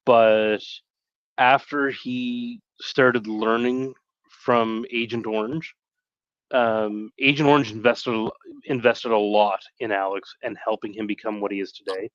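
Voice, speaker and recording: A man chatting casually on a live stream in a halting, rambling way, often correcting himself. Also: fairly low pitch, fairly even intonation, no room sound, muffled recording.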